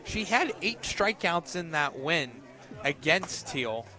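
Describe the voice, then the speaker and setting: A man with a slightly high voice works as a play-by-play announcer on a softball broadcast. He calls each pitch and count live, outdoors at the field. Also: sing-song, takes it slowly.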